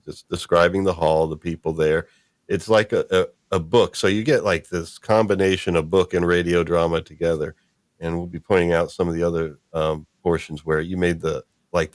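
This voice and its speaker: A man's voice: sits low, dry recording. A man hosting a casual live-stream discussion, chatting in a rambling, fan-like way. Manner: chuckling, rambling fan talk. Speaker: host.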